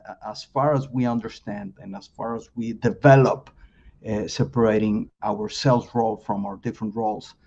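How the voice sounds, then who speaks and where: Mexican-accented man, halting with fillers. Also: fairly low pitch, warm tone. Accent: Mexican Spanish. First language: Spanish. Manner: halting, many fillers and repeated words. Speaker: guest sales trainer. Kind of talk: podcast interview. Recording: slightly dull lo-fi sound.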